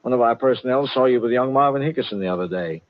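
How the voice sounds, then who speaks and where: An actor playing a genteel, smug older colonel and swindler, courtly and florid, in 1950s radio mystery style. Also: male voice, medium pitch, fast, lightly acted. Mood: suave, smug. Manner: courtly, florid, mock-regretful. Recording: dull 1950 radio broadcast.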